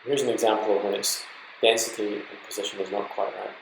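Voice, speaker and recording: A man's voice: on the low side, steady tone, fast talker, gravelly, echoey room, background noise. A man giving an informal, explanatory slide lecture to trainee doctors, talking them through examples in an instructive way. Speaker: medical lecturer.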